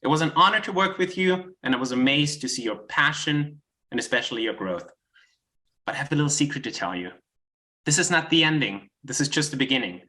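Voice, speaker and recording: A man with a mid-range voice and a bright, forceful tone gives a motivational message. He talks as a coach. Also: medium pitch. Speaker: pitch coach.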